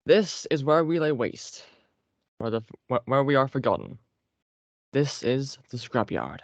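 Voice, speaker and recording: A man's voice: mid-range voice, big pitch swings, a little slow, vocal fry, no room sound. A man voicing a condescending, world-weary scrap-paper General in an amateur table read. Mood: ominous. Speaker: actor in a script table read. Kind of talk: amateur table read over a group call. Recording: dry, dull group-call audio.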